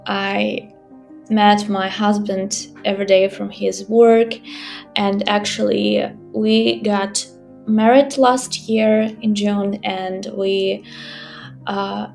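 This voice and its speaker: A young woman from Ukraine, a native Ukrainian speaker, telling her story in English in a halting, careful way with pauses and fillers. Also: mid-range voice, little pitch movement, gravelly, darkish tone, soft background music. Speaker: interviewee, language teacher. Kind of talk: personal account in a podcast interview.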